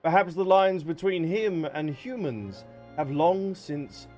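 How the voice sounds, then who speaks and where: A male game streamer with a fairly high voice chats casually to his viewers. He is cheeky and reactive, bantering over faint game music. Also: takes it slowly, a little mellow. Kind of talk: casual livestream commentary with playful banter.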